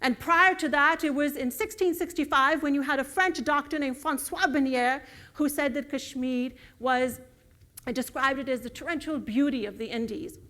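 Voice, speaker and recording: A woman's voice: high-pitched, normal speed, a bit bright. A woman whose first language is Urdu, giving an earnest lecture with slides and building emphasis on key words. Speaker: guest lecturer.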